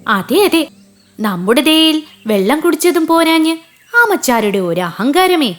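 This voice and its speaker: A woman's voice: high-pitched, very animated, a little slow, faint music behind. A woman voicing a bossy, sneering pond fish in a children's cartoon, scolding and sarcastic. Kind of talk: children's cartoon story voice acting.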